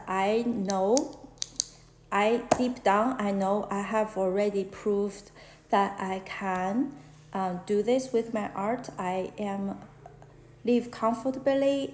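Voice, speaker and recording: Casual live-stream host, thirties, Chinese-accented English, slow and earnest, in an echoey small room. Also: female voice, medium pitch, a little mellow, slight background noise. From China. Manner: halting, many fillers and restarts, earnest. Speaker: fashion illustrator hosting a live chat. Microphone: phone at arm's length. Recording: roomy echo.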